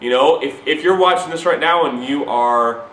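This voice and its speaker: A man giving an urgent, repetitive, preachy sales pitch straight to the camera. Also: normal speed, a bit bright, roomy sound, slight background noise, poor audio. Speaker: recruiting pitch to viewers.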